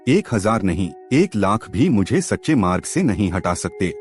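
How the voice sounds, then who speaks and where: A man's voice: fairly low pitch, sing-song, moderate pace, husky, soft background music. A man voicing a righteous, unbending young police officer in a story, stern and commanding. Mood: indignant.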